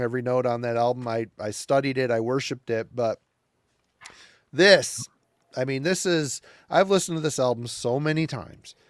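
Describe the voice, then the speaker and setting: A man's voice: mid-range voice, clean tone, no room sound. A man co-hosting a podcast, talking emphatically in casual chat, repeating himself and swearing casually. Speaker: podcast co-host. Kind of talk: casual chat about metal albums.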